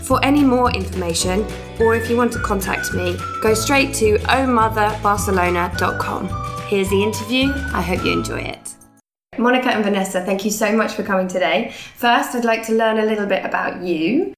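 Podcast host, woman, encouraging and brisk, mid-pitch, with a music bed underneath. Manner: upbeat, encouraging, quick reactions. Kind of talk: friendly interview chat.

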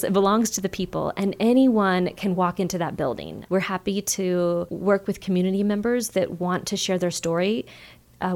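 Quick, earnest woman organizer. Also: lowish voice, darkish tone. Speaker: community organizer guest. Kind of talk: radio interview.

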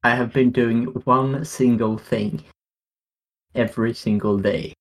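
A man's voice: medium pitch, fairly even intonation, slow pace, low-quality recording. A man in his thirties co-hosting a podcast, chatting casually with short, dry remarks. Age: 30s. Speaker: podcast co-host. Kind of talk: casual chat.

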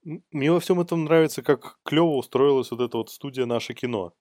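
A man's voice: mid-range voice, a little fast, a little mellow, no room sound, studio quality. A man co-hosting a podcast, casually riffing on the news in slangy, rambling talk. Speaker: podcast co-host. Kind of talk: casual comic news chat.

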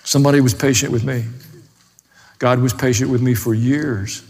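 A man's voice: medium pitch, fairly even intonation, normal speed, darkish tone. A man pastor with a plain-spoken, folksy way, preaching and praying before a congregation. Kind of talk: sermon and closing prayer. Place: large hall, preaching to a congregation. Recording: echoey hall with long reverb.

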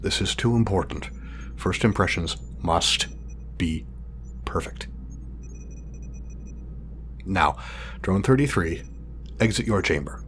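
An actor playing a meticulous male scientist in an audio drama, speaking formally and wordily, halting when flustered. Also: low voice, expressive, fairly bright tone, slight background noise, heavily acted. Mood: measured, pleased. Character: meticulous, fussy male scientist testing his drone creation.

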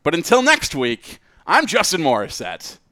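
A man's voice: fairly high pitch, wildly swooping pitch, moderate pace, pushed and bright, dry recording, clean studio sound. A man hosting a podcast in a loose, jokey, casual style, wrapping up with plugs and banter. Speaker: podcast host.

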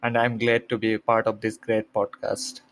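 A man's voice: fairly low pitch, monotone, normal speed, low-quality recording. A young man from Bangladesh, a graduate student answering interview questions with careful explanations and many hesitant fillers. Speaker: guest, PhD student.

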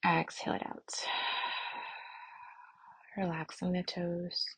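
A woman meditation instructor speaks in a soft, breathy voice with a gravelly creak at the edges. She moves very slowly and soothingly through guidance and prayer, leaving long pauses, in a dry, close recording. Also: on the low side, monotone, no echo, rough recording.

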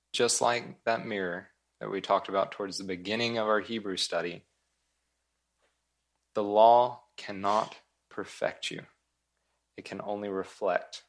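A man delivers an expository sermon in a fairly low voice. His pace is very slow and deliberate, as a pastor reading and explaining scripture. He sets off key words with pauses and repeats them for emphasis. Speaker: pastor teaching a Bible study.

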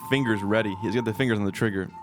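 A man's voice: lowish voice, very fast, over loud music. A man co-hosting a podcast, chatting in an easygoing, playful way and riffing on scenes with his friend. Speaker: podcast co-host. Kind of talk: casual chat.

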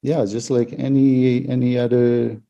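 Slow, dark-voiced man from Bosnia. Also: mid-range voice, little pitch movement, creaky, highs cut off. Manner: slow, measured, with many pauses and fillers. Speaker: guest.

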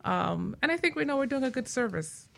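A woman's voice: sits high, big pitch swings, fairly quick, vocal fry. A woman talking casually as a radio guest, heartfelt and a little hesitant. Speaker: radio show guest, workshop host. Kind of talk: casual conversational interview talk.

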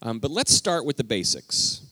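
A man preaching a conversational teaching sermon in a church, talking directly to the congregation with lively emphasis. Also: medium pitch, moderate pace, no echo. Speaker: pastor. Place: church sanctuary.

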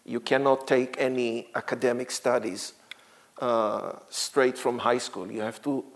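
Slow-talking Israeli man in his sixties. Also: medium pitch, fairly even intonation, creaky. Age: 60s. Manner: halting, many fillers, frequent light laughs. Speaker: guest speaker, tour guide. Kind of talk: church talk to a congregation.

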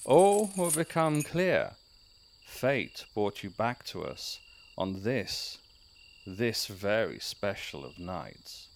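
An actor playing a pompous small-town mayor who leads a secret lodge, theatrical and commanding but prone to fussiness, in comedy-horror audio drama. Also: male voice, lowish voice, big pitch swings, slow, creaky, slight background noise, exaggerated voice. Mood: solemn, grandiose. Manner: theatrical, commanding, then awkwardly fussy.

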